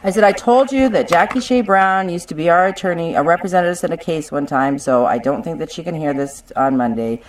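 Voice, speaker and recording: A woman's voice: lowish voice, lively intonation, brisk, few pauses, far from the mic, poor audio. A woman arguing insistently and combatively over a legal dispute on a conference call, as a claimant representing her own case. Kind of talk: contentious legal phone discussion. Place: conference call, some distance from the mic.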